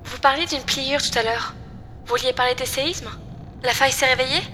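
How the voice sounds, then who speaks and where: A woman's voice: high-pitched, fairly even intonation, brisk, bright, forceful tone, slight background noise. A woman playing a polite, composed young female AI companion in a science-fiction audio drama, speaking precisely. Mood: calm, attentive.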